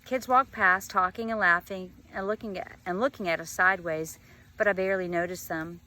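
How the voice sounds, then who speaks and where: Female storybook reader, bright clear-cut tone, animated delivery, outdoor garden setting. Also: fairly low pitch, brisk, rough recording. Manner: animated, acts out dialogue. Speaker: teacher reading a book aloud. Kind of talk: expressive storybook read-aloud. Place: outdoors in a garden bed in a yard.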